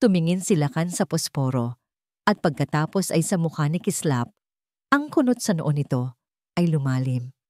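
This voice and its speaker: Female Filipino narrator for dramatic fiction, slightly low voice, dry recording. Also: lively intonation, moderate pace, dark tone, no echo. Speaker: story narrator. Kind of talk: dramatic scripted story narration.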